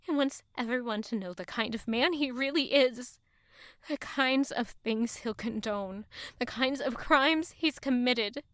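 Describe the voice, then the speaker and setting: A woman's voice: fairly high pitch, a little slow, a bit bright, no room sound, clean studio sound. An actress playing a haunted, bitter young woman who narrates her own story in an audio drama with dramatic intensity. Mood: bitter, seething.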